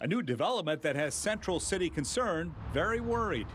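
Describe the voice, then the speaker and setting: A male American reporter delivers scripted TV news narration in a slightly high voice. Clear ambient noise runs behind him. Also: big pitch swings, fairly quick, a bit bright.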